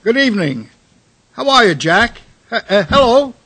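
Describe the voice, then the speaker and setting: A man plays a wisecracking bar regular in a radio drama. His voice is high, bright and swooping, with loose, deliberate diction in a very dry recording. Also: big pitch swings, breathy edge, no echo, exaggerated voice. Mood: hearty. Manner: deliberate, careless articulation. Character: loud wisecracking barroom regular, deliberate and slurry.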